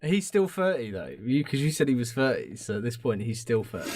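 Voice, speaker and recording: A young man co-hosting a podcast, trading casual comic banter with quick, dry asides. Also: mid-range voice, very animated, darkish tone. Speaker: podcast co-host. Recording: noisy background.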